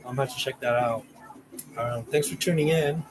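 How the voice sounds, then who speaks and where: A man's voice: mid-range voice, a little fast, noisy background. Rambling man chatting on a livestream. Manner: rambling, restarts, reads out viewer names. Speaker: channel host. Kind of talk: casual livestream chat.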